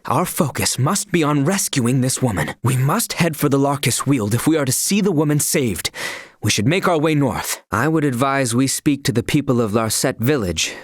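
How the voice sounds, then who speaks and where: An actor voicing a loyal young knight in a fantasy video game, speaking in formal, archaic courtly language with solemn earnestness. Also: male voice, medium pitch, lively intonation, normal speed, breathy edge, no echo, clean studio sound. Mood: earnest, formal. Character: loyal, earnest young male knight, dutiful royal retainer.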